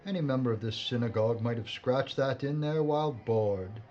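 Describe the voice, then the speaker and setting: A man's voice: mid-range voice, moderate pace, a little mellow, lightly acted. A man playing a pompous, disapproving senior police chief in a wartime drama, curt and blustering. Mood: dismissive, blustering. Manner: pompous, gruffly dismissive, exclamatory. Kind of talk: period audio drama acting. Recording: dull, slightly noisy recording.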